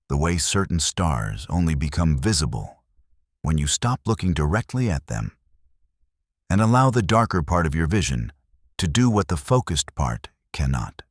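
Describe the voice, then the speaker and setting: Male sleep-meditation narrator, bass-deep, contemplative scripted delivery, very dry sound. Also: no echo.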